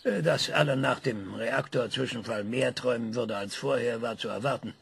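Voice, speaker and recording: A gravelly, scornful scientist. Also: male voice, medium pitch, normal speed. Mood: brooding. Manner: lecturing, dramatic emphasis with sudden hushed whispers. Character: proud male scientist, sharp and scornful. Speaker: scientist being interviewed. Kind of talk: radio play acting.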